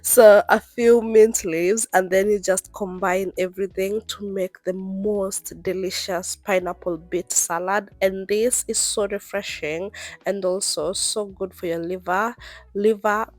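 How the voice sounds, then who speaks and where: A woman with a raspy, hoarse voice from the flu, narrating her recipe video in an upbeat, enthusiastic way. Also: medium pitch, steady tone, measured pace, a little mellow, dry recording. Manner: upbeat, enthusiastic about health benefits. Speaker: cooking vlogger.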